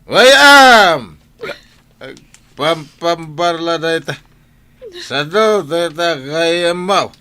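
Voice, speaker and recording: Blustering, sharp-voiced drunken Ilocano father. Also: on the high side, expressive, very slow, pauses often, clear, resonant tone, exaggerated voice. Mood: scornful. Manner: blustering, scolding. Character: gruff, drunken, quick-tempered father demanding food. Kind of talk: Ilocano radio drama acting.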